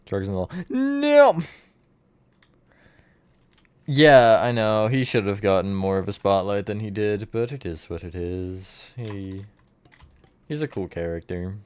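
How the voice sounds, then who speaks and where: A man's voice: on the low side, lively intonation, unhurried, long pauses, clear, resonant tone, no echo. A man streamer chatting casually with his viewers, counting blocks and answering chat while he builds.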